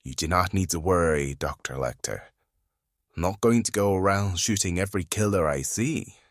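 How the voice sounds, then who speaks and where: A woman voicing a weary, guarded male FBI profiler in an audio drama, tired and deliberate, her voice pitched down to sound masculine. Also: no room sound, studio quality. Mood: defensive. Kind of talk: fan audio drama acting.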